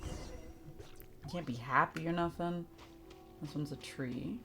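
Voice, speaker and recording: A woman's voice: deep voice, slow, gravelly, music in the background. A woman giving casual live commentary as a game streamer, chatty and wry with a sarcastic streak.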